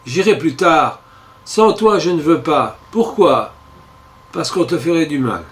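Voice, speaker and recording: A man's voice: a little high, lively intonation, unhurried. Man reading as a stoic captive father. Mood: calm. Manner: quiet, tender, resigned. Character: calm, stoic captured soldier and father.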